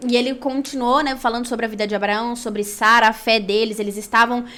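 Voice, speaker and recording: High-voiced Brazilian woman telling her story. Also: steady tone, fast talker, bright, forceful tone. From São Paulo, Brazil. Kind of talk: personal storytelling with Bible reading.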